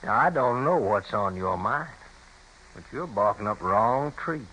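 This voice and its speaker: Ornery frontier villain: sharp-edged, sneering rural Western talk, taunting, slightly noisy vintage radio sound. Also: male voice, on the low side, animated, a little slow. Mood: taunting, defiant. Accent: rural Western American. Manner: taunting, surly, folksy. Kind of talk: 1950s radio Western acting.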